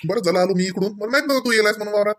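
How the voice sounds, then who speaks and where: A man's voice: sits high, very fast, close to an everyday voice. Man voicing a teasing, fond cartoon village husband. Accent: rural Marathi. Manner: teasing, chatty. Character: teasing, affectionate young husband in a village family. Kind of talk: comedy cartoon dialogue.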